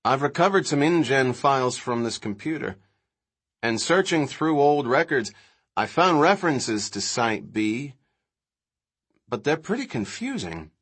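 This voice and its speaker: A man's voice: medium pitch, big pitch swings, measured pace, fairly bright tone. A man voicing an earnest, matter-of-fact young boy explaining things in an audiobook.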